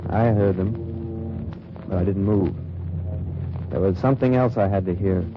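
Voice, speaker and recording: An actor playing a tough wartime undercover agent, narrating in clipped, hard-boiled lines in an old radio drama. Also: male voice, sits low, normal speed, over music, natural voice. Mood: grim. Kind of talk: 1950s radio drama acting. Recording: dull noisy old radio recording.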